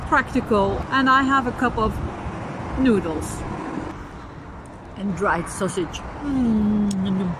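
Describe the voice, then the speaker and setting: A woman's voice: on the high side, recorded from a distance. Upbeat chatty woman vlogging from her car. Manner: chatty, upbeat, self-mocking. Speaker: travel vlogger. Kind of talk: casual road trip vlog. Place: inside a car, later outdoors at a rest stop. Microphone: camera on the dashboard at arm's length. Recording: noisy car and roadside sound, mic set back. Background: road and engine noise.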